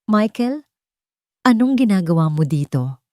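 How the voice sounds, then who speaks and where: Casting: woman playing guarded sister and painter in audio drama, anxious under forced calm, dry sound. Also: mid-range voice, measured pace, no echo. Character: guarded, anxious woman painter hiding what she knows.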